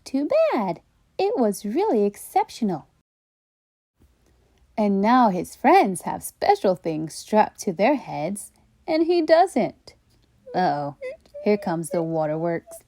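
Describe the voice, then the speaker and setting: Woman reading a children's story, very animated pitch. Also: slow, pauses often, no room sound. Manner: expressive, playful asides to young listeners. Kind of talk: animated storybook read-aloud.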